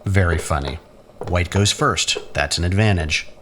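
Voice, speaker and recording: A man's voice: deep voice, normal speed, a bit bright. A man acting in a science fiction audio drama as a bitter, sardonic younger-brother scientist, with mocking, theatrical, erudite delivery. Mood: teasing, sly. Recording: faint noise and room ambience.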